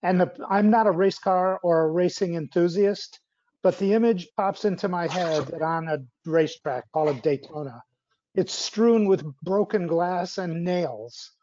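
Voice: mid-range voice, measured pace, dark tone. A person asking a question informally in a meeting, with an emphatic, rhetorical manner. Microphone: video call. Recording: muffled lo-fi video call.

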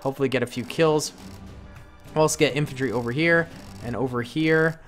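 A man's voice: medium pitch, brisk, soft background music. A young man casually commenting on his gameplay in a playful, jokey way, with slang. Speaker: gaming YouTuber.

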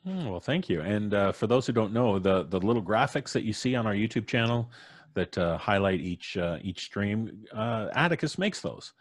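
A man's voice: fairly low pitch, animated, moderate pace, no echo. A man casually hosting a podcast livestream, speaking as the host on a video call. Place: indoor room, remote video call.

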